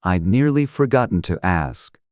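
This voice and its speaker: A storyteller voicing a sly, nonchalant, unhurried Cheshire cat in a dramatized audiobook. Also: low-pitched, very animated, darkish tone, no echo, clean studio sound, pitched below the performer's own voice.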